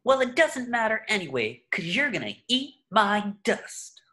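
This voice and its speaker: Woman doing an earnest excitable cartoon sponge boy, bright voice, drags words out. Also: mid-range voice, a little slow. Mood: competitive. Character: eager, excitable young male cartoon sea sponge. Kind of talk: cartoon script voice acting.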